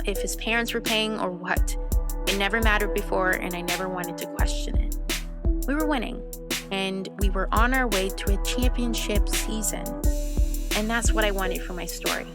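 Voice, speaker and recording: An actress playing a reflective teenage girl sleuth, narrating her own story in an audio drama in a measured, confiding way. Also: medium pitch, close to an everyday voice. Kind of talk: first-person scripted drama narration. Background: loud music bed.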